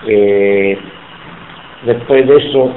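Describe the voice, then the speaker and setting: A man's voice: lowish voice, very slow, reverberant, phone-quality sound, a little hiss or hum. A man in his seventies from Sicily, speaking Spanish with an Italian accent in an oral history interview, emphatic and indignant. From Sicily, Italy. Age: 70s.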